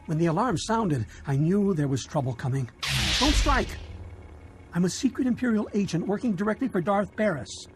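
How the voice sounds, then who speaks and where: A man's voice: sits high, expressive, normal speed, background noise. A man playing a cornered, self-important Imperial double agent in a video game, urgent and defensive. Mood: alarmed.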